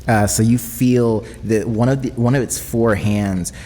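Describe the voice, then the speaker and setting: A man narrating a tabletop game as game master, in halting, suspenseful descriptions. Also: lowish voice, fairly even intonation, warm tone, slight background noise. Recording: long hall reverb, background music. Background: music.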